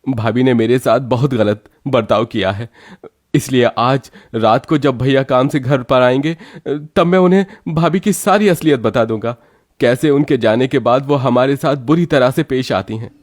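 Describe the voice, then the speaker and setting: A man's voice: medium pitch, normal speed, natural voice. A man playing an earnest, good-hearted but troubled younger brother in a cartoon story, speaking emphatically. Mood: troubled, determined. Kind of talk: Hindi cartoon story acting.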